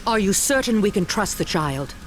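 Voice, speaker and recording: An actress playing a cunning middle-aged witch and mother in a video game, speaking in a measured, authoritative way. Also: medium pitch, lively intonation, a little hiss or hum, close to an everyday voice. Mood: commanding. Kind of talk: video game cutscene acting. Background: game music.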